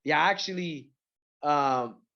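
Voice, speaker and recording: A man from Mexico and Central California, a rapper, chatting casually in an interview with a halting, repetitive delivery full of filler phrases. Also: on the high side, bright, forceful tone, highs cut off. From born in Mexico, grew up in Central California. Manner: halting, repeats words, frequent "you know" and "like".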